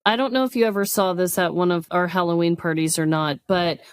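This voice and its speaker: A woman co-hosting a casual comedy podcast, chatting in an animated, exclamatory way. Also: lowish voice, smooth, no echo. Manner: animated, exclamatory, quick to laugh. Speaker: podcast co-host.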